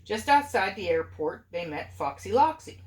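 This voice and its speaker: A mother reads a storybook aloud in a playful, informal way. Her voice is very animated and moves freely up and down, recorded in an echoey room. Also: mid-range voice, moderate pace. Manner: playful, expressive, breaks off for asides. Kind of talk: informal storybook reading to family.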